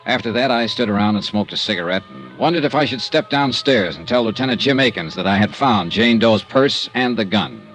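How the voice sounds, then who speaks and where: A cool American private investigator from 1950s radio drama, low in pitch. He is guarded and clipped, telling his case in understated hard-boiled style, with music in the mix. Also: animated, fairly quick, hardly any pauses, a bit bright, poor audio. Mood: dry, reflective. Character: cool, guarded male freelance insurance investigator. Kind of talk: 1950s radio detective acting with first-person narration.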